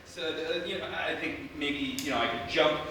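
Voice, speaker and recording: A man's voice: a little high, normal speed, pushed and bright, slight background noise. An actor playing a dim, hesitant, bumbling man in a live comedic radio play. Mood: dithering. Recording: echoey live theatre, distant mic.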